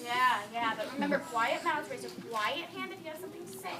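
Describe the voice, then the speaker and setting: A woman teacher reading a picture book aloud to young children, expressive, pausing to explain words and ask questions. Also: high-pitched. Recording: echoey noisy room from far off.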